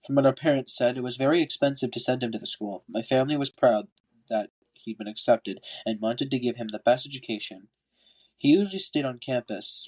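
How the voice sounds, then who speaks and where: A man's voice: mid-range voice, a little mellow, poor audio. A man with a stuffy, congested nose narrates an amateur audiobook as a podcast host, now and then stumbling and rereading. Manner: stumbles over words and rereads lines. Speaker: podcast host reading a book aloud.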